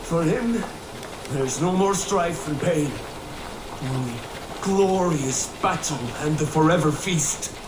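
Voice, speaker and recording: An actor playing a grieving, stoic Viking warrior in a video game, measured and somber. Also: male voice, fairly high pitch, expressive, background noise. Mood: mournful. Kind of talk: dramatic video game acting. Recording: echoey, with music behind.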